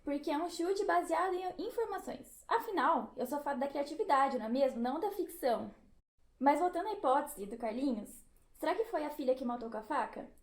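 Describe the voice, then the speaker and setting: Woman acting a high-voiced, upbeat science guide. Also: lively intonation, brisk. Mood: upbeat, explaining. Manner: didactic, theatrical. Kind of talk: educational theatrical audio drama. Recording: roomy, echoey sound.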